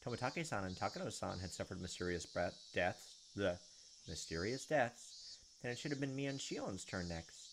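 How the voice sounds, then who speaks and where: A man's voice: fairly low pitch, lively intonation, moderate pace, background noise. A young man hosting a gaming video, reading visual novel narration aloud with casual asides. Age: young adult.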